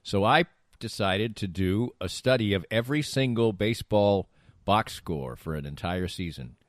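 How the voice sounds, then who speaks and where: Low-voiced, animated middle-aged man bantering. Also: normal speed, no room sound. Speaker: podcast co-host. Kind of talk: casual banter and storytelling.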